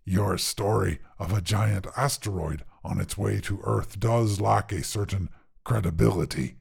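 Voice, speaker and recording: A man voicing a huge, smug, erudite carnivorous dinosaur in a story, with a gravelly, husky growl and pompous, formal phrasing. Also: lowish voice, measured pace, exaggerated voice. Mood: pompous, skeptical. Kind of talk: character voice in narrated short fiction.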